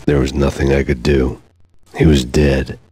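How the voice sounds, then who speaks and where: An actor voicing a jaded, brooding hard-boiled cop who narrates in a deadpan, wry, terse noir style. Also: male voice, extremely low pitch, unhurried, slightly rough, dry recording, heavily acted.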